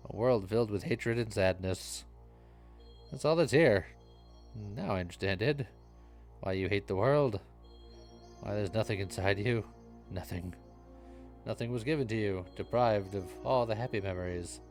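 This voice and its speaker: A man voicing a bitter, brooding young male split personality from a video game in a solemn, deliberate manner. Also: fairly low pitch, darkish tone, faint music behind, voice pushed down, lightly acted. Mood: brooding, resigned.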